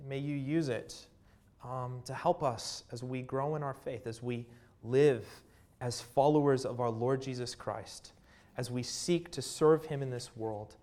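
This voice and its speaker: Man, Canadian accent, preacher, earnest, halting with fillers, mid-pitched voice. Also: a little mellow. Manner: earnest, with frequent fillers and pauses. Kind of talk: sermon with scripture reading and prayer.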